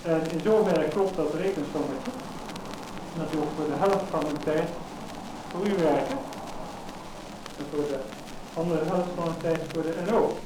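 A man giving an informal speech to colleagues in a halting, deliberate way. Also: on the high side. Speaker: staff member giving a farewell speech. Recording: echoey, very noisy 1950s-60s disc recording.

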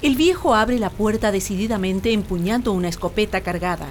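A woman's voice: medium pitch, a little fast, slight background noise, lightly acted. A woman playing the storyteller of a horror legend, narrating a radio drama solemnly and suspensefully. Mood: solemn, ominous.

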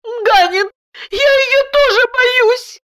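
A woman voicing an angry, tearful wife in a story, whiny and shrieking, with a sharp tongue. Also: very high voice, animated, unhurried, pitched above the performer's own voice, exaggerated voice. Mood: tearful, frightened.

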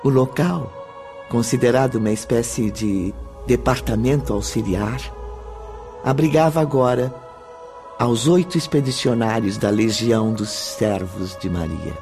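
Slow-paced solemn Brazilian male narrator over music. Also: mid-range voice, a little mellow. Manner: solemn, formal storytelling. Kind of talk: scripted radio drama narration. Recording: background music under the voice.

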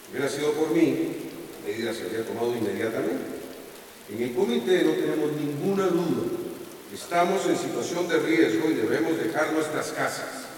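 An actor playing a male town mayor with a Costa Rican accent, insistently pleading for calm at a meeting in a radio drama. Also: a little high, normal speed, lightly acted. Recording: echoey hall, voice from far off.

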